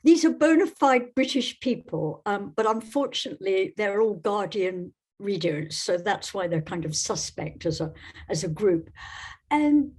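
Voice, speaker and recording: A woman from Scotland giving conversational interview answers as a guest, in a measured, wry way with a husky voice. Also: mid-range voice, sing-song, a little mellow. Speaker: guest novelist.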